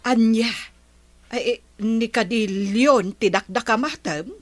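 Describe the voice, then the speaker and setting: Sympathetic, curious neighbor woman, unhurried, fairly high voice, village radio drama.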